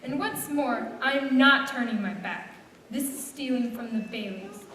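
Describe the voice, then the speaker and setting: Woman playing principled bank examiner, blunt, firm, higher voice, radio play acting, strong echo, far from mic. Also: lively intonation, a little slow, pushed and bright. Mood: indignant. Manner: firm, confrontational. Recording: reverberant hall, distant mic, faint noise.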